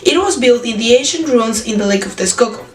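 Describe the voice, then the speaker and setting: A woman's voice: on the high side, lively intonation, a little fast. A young woman from Mexico, a Spanish speaker, reading a school presentation in careful, formal English with small restarts. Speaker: student presenter. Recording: echoey room with long reverb and faint music.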